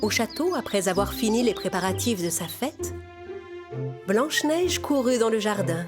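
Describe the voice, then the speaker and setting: A woman's voice: medium pitch, expressive, moderate pace, over music. A woman storyteller reading scripted fairy-tale narration for children.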